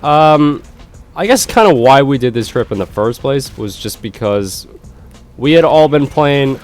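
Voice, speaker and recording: A man's voice: mid-range voice, animated, measured pace, faint music behind. Stuffy-nosed young man. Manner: rambling, swears freely, frequent restarts. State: congested, nasal from a cold. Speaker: gaming YouTuber. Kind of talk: casual vlog chat.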